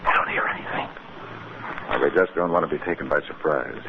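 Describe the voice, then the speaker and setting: An American actor playing a resolute, rational doctor in 1950s radio drama style. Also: male voice, a bass voice, fast talker, gravelly. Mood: hushed, alarmed. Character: resolute, rational male doctor leading a search. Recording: tinny, hissy 1950s radio recording.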